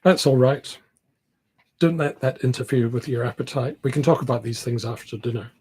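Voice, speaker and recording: A man reading aloud the part of a genial, shrewd upper-class government gentleman from a classic novel. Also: mid-range voice, moderate pace, breathy edge, natural voice.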